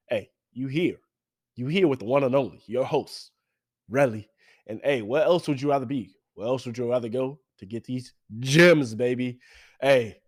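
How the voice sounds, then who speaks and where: Stuffy, energetic man hosting a podcast. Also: mid-range voice. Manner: energetic, preachy, repeats phrases with slang. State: stuffy, congested from allergies. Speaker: podcast host. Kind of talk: motivational podcast talk.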